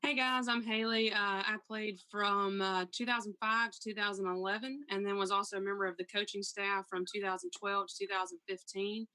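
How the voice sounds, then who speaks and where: A woman in her thirties, a school athletics administrator and coach, introducing herself in a steady manner. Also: mid-range voice, brisk, hardly any pauses, clear, resonant tone, low-quality recording. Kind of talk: casual self-introduction.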